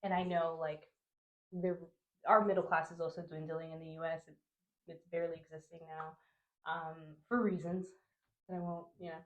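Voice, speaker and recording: A woman's voice: low-pitched, level tone, moderate pace, reverberant, muffled recording. A young woman from Honduras who grew up in New Jersey, talking casually as a podcast guest and filling her speech with "like" and "you know". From Honduras, raised in New Jersey. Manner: chatty, many fillers like "like" and "you know". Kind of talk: casual conversational interview.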